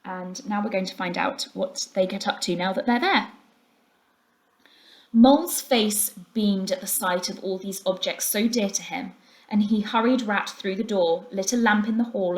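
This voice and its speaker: A woman reads a children's book aloud in the manner of a schoolteacher. Her medium-pitched voice carries expressive storybook narration. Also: roomy sound. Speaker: teacher reading to a class.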